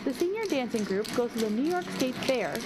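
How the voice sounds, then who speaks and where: A woman reporter reading a scripted voice-over narration in a clear, even manner. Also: on the high side, fairly quick, heavy background noise.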